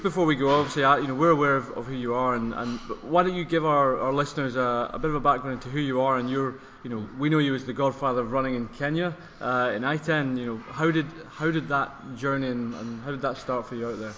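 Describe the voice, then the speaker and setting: A man's voice: medium pitch, fast talker, few pauses, fairly bright tone, dull audio, a little hiss or hum. A man co-hosting a running podcast and interviewing a guest, chatty and rambling in casual chat.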